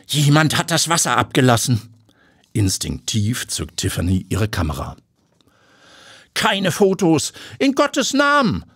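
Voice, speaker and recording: A man's voice: fairly low pitch, sing-song, moderate pace, breathy edge, no echo, clean studio sound, full character voice. A man voicing a stern, haughty woman in her fifties, in an audiobook. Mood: distraught. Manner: haughty, then flustered and despairing.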